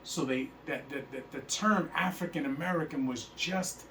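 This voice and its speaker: A man's voice: on the high side, moderate pace, fairly bright tone, roomy sound. A man teaching a religious class, emphatic and repeatedly checking that his listeners understand. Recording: faint hiss, speaker far from mic.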